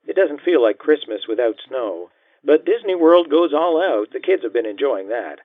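A man voicing a hesitant, gently polite estranged wife in audiobook dialogue, heard through a telephone filter. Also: fairly high pitch, very animated, no room sound, voice pushed up. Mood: unsure, polite. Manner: halting, unsure, gently polite. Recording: thin, band-limited phone-line sound.

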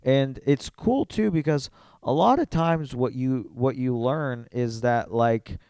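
Male podcast guest, mid-pitched, smooth and dry-sounding, blunt slangy delivery with casual swearing. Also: slow pace, darkish tone, no room sound.

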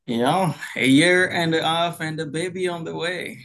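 A young man from the Democratic Republic of Congo, a pastor, telling his story on a video call, slowly and with many fillers. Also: on the high side, a bit bright. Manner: slow, hesitant with many fillers, laughs easily. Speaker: pastor, guest. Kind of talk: casual interview chat.

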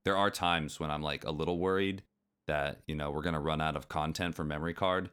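A man's voice: very deep voice, steady tone, a little fast, a bit bright, clear, resonant tone. A man from the United States co-hosting a podcast in casual chat, curious and asking questions. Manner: curious, asks questions, chatty. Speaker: podcast co-host.

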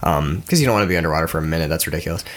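A man's voice: extremely low pitch, lively intonation, rapid-fire, a bit bright. A young man with a congested, stuffed-up voice, co-hosting a casual podcast and rambling through a story with laughs. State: congested from a cold. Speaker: podcast co-host. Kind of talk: casual chat.

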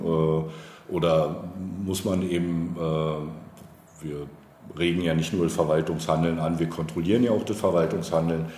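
Sehr tiefe Männerstimme in halligem Raum. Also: male voice, very deep voice, dark tone. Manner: hesitant, long winding explanatory sentences. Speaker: local politician being interviewed. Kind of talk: conversational political interview. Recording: roomy echo, faint background noise.

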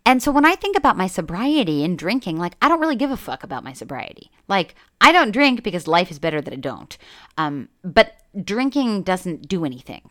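A woman podcast host speaking in a lower register that swings widely in pitch as she makes her points. She talks bluntly and emphatically, swears without hesitation, and drops in self-deprecating asides. The sound is dry and close, with no room echo. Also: sing-song, a little fast, a bit bright. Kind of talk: candid personal monologue.

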